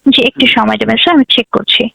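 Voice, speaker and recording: A woman's voice: a little high, racing, gravelly, bright, forceful tone, dry recording, low-quality recording. A young Bangladeshi woman working as a customer service agent, speaking in a polite, formulaic, formal way on the phone.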